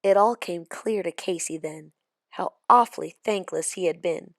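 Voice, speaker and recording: A woman reading a children's storybook aloud as expressive scripted narration. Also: on the low side, moderate pace, dry recording, studio quality. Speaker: storybook reader.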